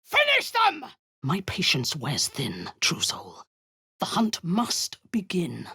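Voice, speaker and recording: An actress voicing a cold, imperious woman warrior-commander and zealous cultist in a video game, speaking in a low, husky, half-whispered menace. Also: huge pitch swings, takes it slowly, pushed and bright, no room sound, clean studio sound, heavily acted. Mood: commanding. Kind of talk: video game character acting.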